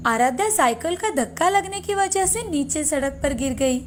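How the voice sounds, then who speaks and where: A woman narrating a children's moral story in a steady, expressive scripted reading. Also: high-pitched, normal speed, slight background noise.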